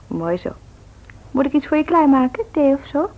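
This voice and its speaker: An actress playing a caring, frank young medical student in a radio drama, earnest and slightly hesitant. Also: female voice, sits high, sing-song, normal speed. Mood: friendly, attentive. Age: young adult. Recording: thin, phone-like old radio recording.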